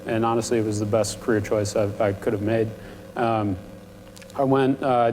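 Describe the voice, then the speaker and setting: A young man speaks at a university panel in a low voice, half-mumbling and full of ums, in a friendly, casual way. His words ring out with a long reverb from the lecture hall. His pitch sits in an ordinary middle range. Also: a little mellow, a little hiss or hum. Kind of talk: presentation to students.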